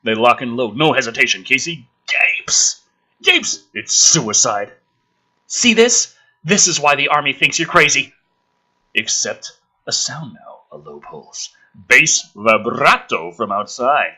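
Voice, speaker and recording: A man's voice: medium pitch, big pitch swings, slow, sharp tone, husky. A man hosting a channel, giving a sarcastic, theatrical script reading with exasperated, foul-mouthed commentary. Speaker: host of a gaming and film channel.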